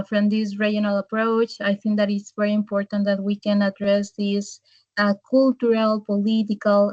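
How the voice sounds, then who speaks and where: Flat-voiced woman from Peru. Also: medium pitch, dry recording, muffled recording. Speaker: climate analyst guest. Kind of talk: self-introduction on a webinar.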